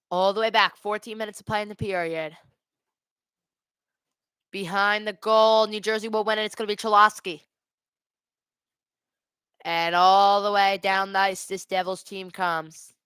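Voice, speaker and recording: A woman's voice: mid-range voice, flat delivery, a little slow, pauses often, a bit bright, smooth, no echo. A woman doing live amateur hockey play-by-play and casual chat as host of a watch party, speaking into a headset.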